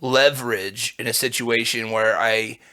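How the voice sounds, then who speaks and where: Rambling young man, bright low voice. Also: steady tone, unhurried, smooth. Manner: rambling, full of fillers and swearing. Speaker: podcast guest. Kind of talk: casual chat.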